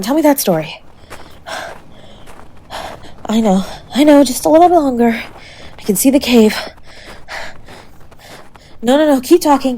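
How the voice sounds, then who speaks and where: A woman playing a tough, sharp-tongued young woman who is secretly tender, in an intimate roleplay audio drama spoken to the listener. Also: sits high. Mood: urgent, pleading. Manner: brusque, bossy and teasing with urgent pleading.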